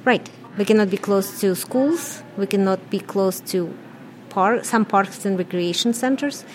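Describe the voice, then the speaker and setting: A woman's voice: medium pitch, a little slow, a little hiss or hum. A middle-aged woman from Ukraine with a Russian accent, answering interview questions in a plain, matter-of-fact way. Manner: plain, matter-of-fact, non-native grammar. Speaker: business co-owner.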